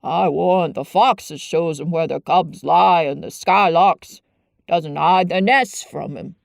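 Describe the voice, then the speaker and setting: Lady putting on a grumpy old yorkshire gardener, slow and gravelly, dry sound. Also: lowish voice, no echo. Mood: gruff. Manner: slow, dialect-heavy, grumbling. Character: gruff old Yorkshire gardener, sour and blunt.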